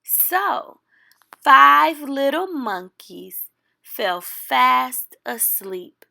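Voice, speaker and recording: A woman reading a children's picture book aloud, with expressive, deliberate phrasing for young listeners. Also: sits high, vocal fry. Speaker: storytime reader.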